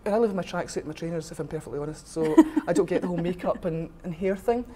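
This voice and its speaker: Middle-aged woman, west of Scotland accent, deep relaxed voice, frank and opinionated, interview answers, faint noise behind. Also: very fast. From west coast of Scotland. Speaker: interviewee, rugby official. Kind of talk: informal interview conversation.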